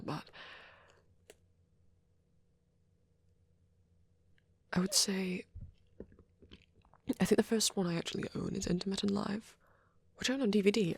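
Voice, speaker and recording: A woman voicing a shy young male vampire boyfriend in an ASMR roleplay, rambling softly and haltingly in a breathy near-whisper, with stammers and restarts. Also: medium pitch, no echo. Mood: fond, rambling. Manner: hesitant, flustered, halting, with many stammers and trailing restarts.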